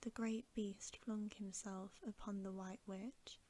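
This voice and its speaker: A woman reading a story aloud as bedtime ASMR in a soft, breathy whisper, with gentle, hushed and measured narration. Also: medium pitch, level tone.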